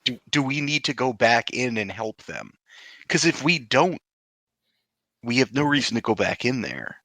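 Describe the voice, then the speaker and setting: A man's voice: medium pitch, sing-song, normal speed, pushed and bright, dry recording. A man talking casually as a player in a tabletop roleplaying game.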